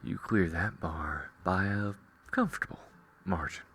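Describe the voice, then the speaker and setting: Man voicing a deep, slow-drawling cowboy gunslinger. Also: fairly bright tone. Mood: wry, teasing. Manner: slow, drawling, wry, reassuring. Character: calm, cocky gunslinging cowboy ranger, teasing but protective. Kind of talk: roleplay audio drama addressed to the listener.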